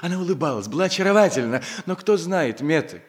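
Влюблённый молодой математик с высоковатым подвижным голосом в исполнении актёра. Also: male voice, fairly high pitch, animated, quick pace, lightly acted. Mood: earnest, lovelorn. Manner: earnest, plaintive. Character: lovesick, insecure, earnest young mathematician. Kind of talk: 1960s stage-like radio comedy acting.

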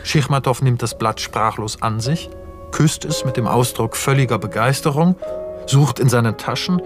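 A man's voice: medium pitch, moderate pace, music in the background, natural voice. A man narrating scene and camera directions in a radio play, detached and scripted. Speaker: narrator reading screenplay directions.

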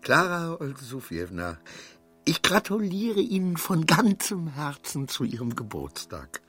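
An actor in a radio play playing a flustered, proud, insecure minor clerk who rambles between pompous declarations and muttering. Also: male voice, a little high, huge pitch swings, measured pace, pushed and bright, husky, soft background music, full character voice. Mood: solemn, flustered.

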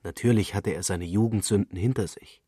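A man's voice: on the low side, fairly quick, warm tone, breathy edge, dry recording, clean studio sound. A man reading literary prose as a scripted narrator.

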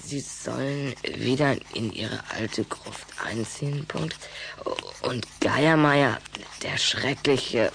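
Schoolboy: low register, earnest, nervous stammer, children's audio drama, clear background noise. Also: female voice, slow, a bit bright, full character voice. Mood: glum, halting. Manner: hesitant, stammering. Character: earnest, anxious, hesitant human schoolboy. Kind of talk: children's radio play acting.

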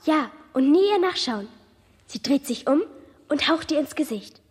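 A woman's voice: sits high, expressive, moderate pace. A woman playing a superstitious youngster earnestly gossiping about a dreaded figure in a radio play. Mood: eerie, earnest. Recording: reverberant hall.

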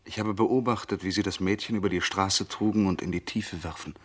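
Calm insinuating witness, low husky voice, measured, old school crime radio play. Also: male voice. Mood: accusing, controlled. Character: calm, insinuating male eyewitness Gachet confronting a suspect. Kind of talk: German crime radio drama acting.

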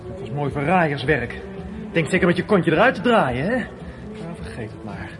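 A man's voice: a little high, big pitch swings, normal speed. A man playing a bullying, menacing young gang leader in a radio play, commanding and sneering. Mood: sneering, threatening.

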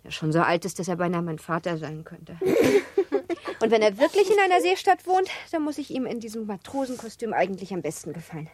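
A woman's voice: mid-range voice, very animated, a little fast, a little mellow, husky. An actress playing a playful, headstrong seventeen-year-old girl in a German literary radio play, with an impulsive, teasing, girlish way of talking. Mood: playful, eager.

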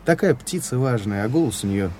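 A man's voice: mid-range voice, expressive, fairly quick, warm tone, natural voice. An actor playing a plain-spoken, folksy, grumbling policeman in a Russian radio drama, with faint outdoor noise around him. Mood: grumbling wonder.

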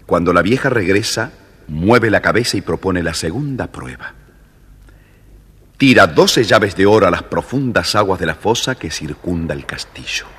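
A man's voice: sits low, animated, normal speed, fairly bright tone, breathy edge. A man with an Argentine accent narrating a scripted children's storybook. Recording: hall reverb, faint background noise.

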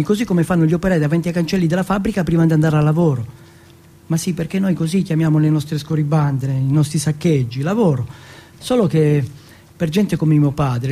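A man with a husky voice, performing a theatrical autobiographical monologue. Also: fairly high pitch, mellow. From Turin, Italy.

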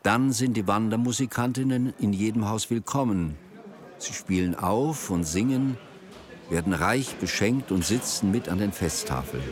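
A man narrating a radio drama in a measured, literary storytelling manner. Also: on the low side, animated, crowd noise.

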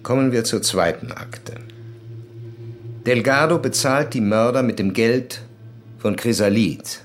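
An actor playing a determined, grim police commissaire in a crime radio drama, speaking deliberately and formally. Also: male voice, mid-range voice, a little hiss or hum, lightly acted. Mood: formal, insistent.

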